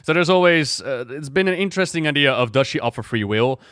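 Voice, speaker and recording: A man giving casual reaction commentary as a game lore streamer, musing out loud in a conversational way. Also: a little high, animated, quick pace, clear, resonant tone, no echo, studio quality.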